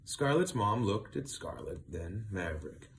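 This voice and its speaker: Animated, slow-paced man reading a storybook. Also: lowish voice, creaky, a little hiss or hum. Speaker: storybook reader. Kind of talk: animated children's read-aloud.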